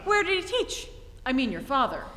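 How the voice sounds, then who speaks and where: A woman's voice: sits high, sing-song, moderate pace, sharp tone, exaggerated voice. A woman acting a haughty, witty heiress in a radio play, with an arch, mock-innocent manner. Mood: arch, teasing. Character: haughty, witty society heiress, playfully probing and imperious. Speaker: amateur stage actor. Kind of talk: radio play re-enactment on stage. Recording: echoey theatre hall, live stage.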